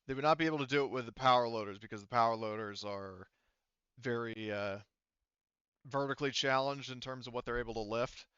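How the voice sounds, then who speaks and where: A man running a tabletop roleplaying game, explaining casually and thinking out loud as he goes, often repeating himself. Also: mid-range voice, brisk, clear, resonant tone, dry recording, muffled recording. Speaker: game master.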